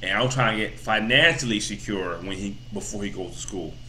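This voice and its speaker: A man's voice: fairly low pitch, a little fast, bright, forceful tone, a little hiss or hum. A man in his thirties giving a casual, rambling motivational talk as a vlogger, full of repeats and "you know" fillers. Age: 30s.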